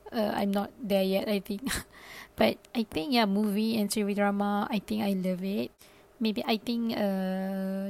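Young Malaysian woman, native Malay speaker, solo podcast host; mid-pitched, loose and hesitant, lots of fillers. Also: darkish tone. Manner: hesitant, thinking aloud, with many fillers and restarts. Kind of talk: casual solo podcast talk.

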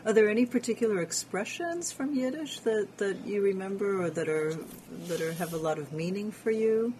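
Female interviewer; soft, mellow voice with gentle, supportive questions. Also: mid-range voice, normal speed, slight background noise. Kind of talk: interview conversation.

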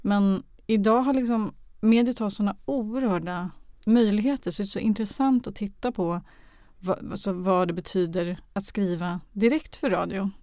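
A woman talks as an interview guest, picking her way through sentences and thinking aloud. Her mid-pitched voice has noticeable creak. It is recorded close and dry. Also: mellow, no room sound. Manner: hesitant, searching for words, thinking aloud. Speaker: radio drama director or producer, interview guest. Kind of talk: conversational interview.

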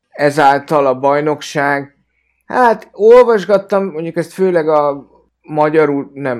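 A man in a casual podcast discussion, speaking in a hesitant, halting way and often repeating words. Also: mid-range voice. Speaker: football fan podcast guest.

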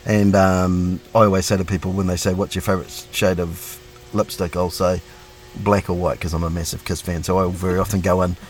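Middle aged kiwi guy, real low voice, chatty and joking about himself. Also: flat delivery, fairly quick. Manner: chatty and self-deprecating, with lots of fillers. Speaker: police officer guest. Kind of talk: casual interview chat.

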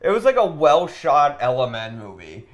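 A man's voice: fairly high pitch, wildly swooping pitch, normal speed. A man hosting a casual podcast, giving blunt opinions in loose conversational speech peppered with filler "like". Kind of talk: casual film criticism while gaming.

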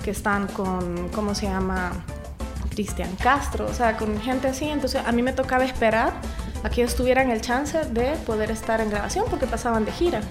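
A talkative Salvadoran woman in her forties, a singer-songwriter guest recounting things in a relaxed, laughing way. Her voice sits a little high for a woman, and music plays under the talk. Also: normal speed. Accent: Salvadoran Spanish. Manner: chatty, laughs often, restarts words. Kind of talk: casual interview chat.

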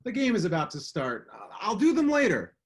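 A man's voice: high-pitched, huge pitch swings, brisk, breathy edge, full character voice. A man playing an inattentive, casual husband in his thirties in a radio drama. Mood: sheepish, dismissive. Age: 30s.

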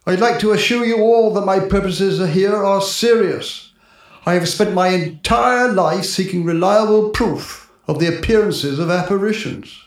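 An actor playing a grave, pompous ghost-hunting doctor with formal, halting speech in a 1940s radio drama. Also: male voice, high voice, far from the mic. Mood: solemn. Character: grave, pompous male ghost hunter doctor, sensitive and foreboding. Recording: echoing 1940s radio recording, walkie-talkie from afar.

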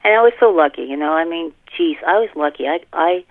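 A woman in her fifties with a Canadian accent talks casually and self-deprecatingly, like an author being interviewed. Her voice is creaky, and it comes through a thin, telephone-like connection with an animated storytelling manner. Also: deep voice, fast talker, no room sound. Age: 50s. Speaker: fantasy author, podcast guest. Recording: tinny telephone-like line.

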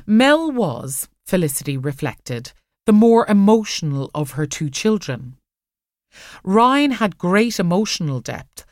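A woman reading fiction aloud as an audiobook narrator, expressive and measured in her storytelling. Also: medium pitch, no room sound, studio quality.

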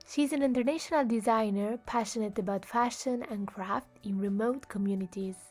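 A woman hosting a podcast in a slightly higher voice, delivering a prepared introduction before moving into her questions. She speaks in an earnest, measured way, with faint music playing in the background. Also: a little mellow. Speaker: podcast host. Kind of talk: scripted intro, then interview questions.

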